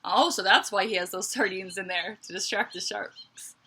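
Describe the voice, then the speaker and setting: A woman reading a picture book aloud to children like a teacher, with expressive emphasis and chatty asides. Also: medium pitch, normal speed, a little hiss or hum. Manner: expressive, dramatic emphasis, conversational asides to young listeners.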